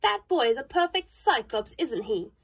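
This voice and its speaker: A woman's voice: fairly high pitch, big pitch swings, normal speed, breathy edge, heavily acted. A woman voicing a vain young girl who is fond of big words, speaking in an earnest, fussy, chattering way. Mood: peevish.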